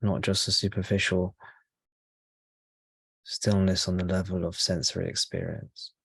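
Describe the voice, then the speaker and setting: A man speaking as a spiritual teacher leading a guided meditation, in slow, measured short phrases with pauses between them. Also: sits low, little pitch movement, creaky, a bit bright, husky, dry recording.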